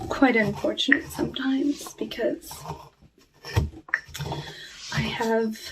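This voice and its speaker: A woman playing a lonely cursed witch in an ASMR roleplay, talking softly and wistfully with halting, hushed delivery over a noisy recording. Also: fairly high pitch. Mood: melancholy. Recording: clearly noisy with clicks.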